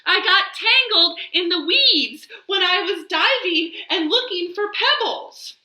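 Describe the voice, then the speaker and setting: A woman's voice: extremely high pitch, expressive, slow, sharp tone, voice pushed up, full character voice. A woman voicing a naive, curious young female frog, wide-eyed and eager, in a children's storybook. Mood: relieved. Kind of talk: children's storybook read-aloud. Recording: echoey living room.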